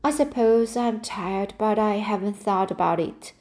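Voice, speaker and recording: A woman reading the lines of a weary, bitter elderly woman in a slow, plain voice. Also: mid-range voice, darkish tone, lightly acted.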